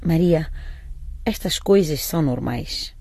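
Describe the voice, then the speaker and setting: A woman's voice: low voice, lively intonation, slow, dark tone, slightly rough, no room sound, heavily acted. An actress playing a caring, anxious mother in a radio drama, coaxing and questioning. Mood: gentle, concerned.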